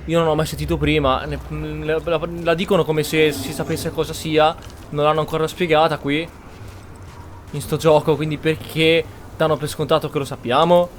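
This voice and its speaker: Casual male gamer, slightly high voice. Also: little pitch movement, brisk, a little hiss or hum. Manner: informal, musing aloud. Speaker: gaming channel host. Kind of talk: casual gameplay commentary. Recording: faint game music behind.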